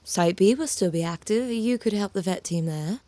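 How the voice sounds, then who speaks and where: An actress playing a cautious, practical woman park staffer in an audio drama, measured and a little hesitant. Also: medium pitch, warm tone, no echo, natural voice. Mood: cautious, reluctant.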